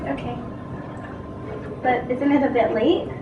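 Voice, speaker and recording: A woman's voice: high-pitched, steady tone, a little slow, dull audio. A female amateur performer playing a brave, curious young girl in a readers theatre play. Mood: inquisitive. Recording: echoey room from far, clear noise.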